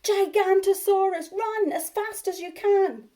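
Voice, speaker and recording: A woman's voice: extremely high pitch, measured pace, dark tone, pitched above the performer's own voice. A woman voicing a mischievous young boy dinosaur in a storybook, boastful and teasing, crying out false alarms. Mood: mock alarm.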